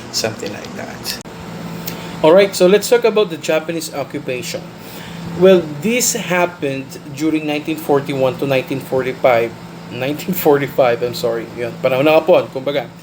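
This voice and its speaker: A man's voice: mid-range voice, noisy background. A man with a Filipino accent giving a recorded class lecture, often mixing in Tagalog and ending points with "no?". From Philippines. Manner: switches into Tagalog, tags statements with "no?". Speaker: teacher.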